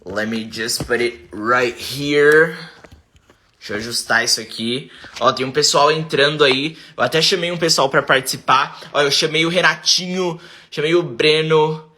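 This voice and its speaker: A Brazilian man with a Brazilian accent hosts a chatty live stream, his voice bright and a little high for a man. He talks straight into a phone set on a tripod, moving easily between Portuguese and English. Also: normal speed, a little hiss or hum. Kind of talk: casual live-stream chat.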